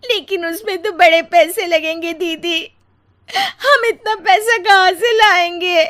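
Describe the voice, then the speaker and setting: Woman performing an anxious younger sister-in-law, very high voice, plaintive, emotional, cartoon story dialogue. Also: slow pace, fairly bright tone, heavily acted. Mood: tearful, panicked.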